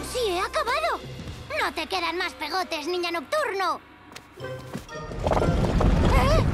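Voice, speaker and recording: Confident little boy hero, earnest, high childlike pitch, loud music, cartoon dub. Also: exaggerated voice. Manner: earnest, exclamatory. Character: eager, confident little boy lizard superhero.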